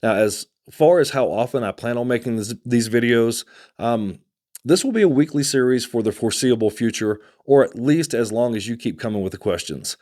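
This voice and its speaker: A man's voice: mid-range voice, animated, moderate pace, warm tone. Man, Texan accent, host, casual talk to camera, conversational, a few restarts. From Texas. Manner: conversational, with many restarts and fillers.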